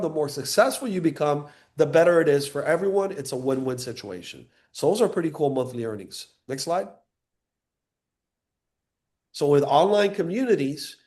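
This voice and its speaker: A man's voice: high voice, darkish tone. A man born in Cuba and raised in Miami giving an enthusiastic sales presentation on a live call, teasing listeners by name.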